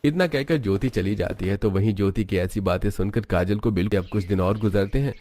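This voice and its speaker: A man's voice: on the low side, a little fast, darkish tone, slight background noise. A man narrating a scripted story as its storyteller. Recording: music bed under voice. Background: background music.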